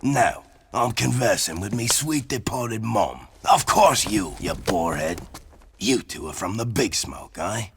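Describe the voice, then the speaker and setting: An actor voicing a gruff, cocky desert racing boss in a video game, blunt and slangy. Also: male voice, lowish voice, expressive, a little slow, slight background noise, exaggerated voice. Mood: cocky, bossy. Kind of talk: animated video game voice acting. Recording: loud music underneath.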